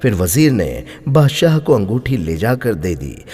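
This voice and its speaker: A man narrating a scripted moral story in a dramatic, expressive way. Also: fairly low pitch, a little slow, breathy edge, soft background music. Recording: long hall-like reverb.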